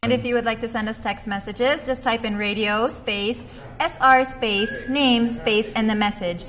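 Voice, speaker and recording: A woman's voice: a little high, fairly even intonation, normal speed, fairly bright tone. A woman co-hosting a sports show in a studio, reading viewer messages and chatting in a friendly, giggly way.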